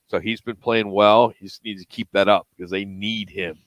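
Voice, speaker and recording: A man's voice: lowish voice, steady tone, moderate pace, a bit bright, no echo. A middle-aged man from the Philadelphia and South Jersey area co-hosting casual sports talk radio, arguing his points insistently. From Philadelphia and South Jersey area, USA. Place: live remote radio broadcast at a car dealership.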